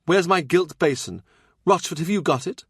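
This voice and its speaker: A defiant young noblewoman, voiced by a male audiobook reader, pitched well up. She is scornful and hot-tempered, with a haughty, emphatic edge, in a dry recording. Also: moderate pace, slightly rough, no room sound. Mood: frantic, angry.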